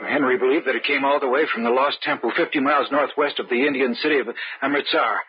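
A man's voice: medium pitch, fairly even intonation, fast, breathy edge. An actor playing an alarmed but reasoning man in an old-time radio horror drama, earnest and urgent, on a muffled noisy recording. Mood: solemn.

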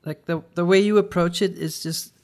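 A man's voice: high voice, steady tone, a little mellow. A man co-hosting a podcast in casual chat, often stumbling and restarting his sentences. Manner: halting, with frequent stuttered restarts. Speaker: podcast co-host.

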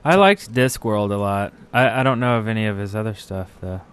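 A man's voice: lowish voice, a little fast, fairly bright tone, smooth. A man chatting casually as a podcast co-host. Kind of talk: casual chat.

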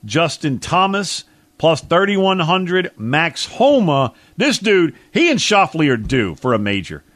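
Slangy male sports radio co-host, fairly high voice. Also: sing-song, unhurried, a bit bright, dry recording. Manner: colorful, slangy, hyperbolic. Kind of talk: casual sports talk and betting picks.

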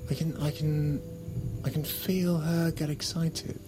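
An actor playing an insecure, pleading husband in an intimate audio drama, halting and stammering, sometimes breathy. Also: male voice, a little high, flat delivery, noisy background. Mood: defensive, desperate. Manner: halting, stammering, repeats himself. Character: pleading, insecure, flustered husband in a failing marriage. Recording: music under the dialogue.

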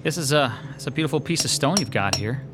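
A man's voice: medium pitch, animated, fast, very noisy, lightly acted. An actor playing an uneasy male artist who narrates his own story in a radio drama, hesitant and polite. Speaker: narrator and lead character.

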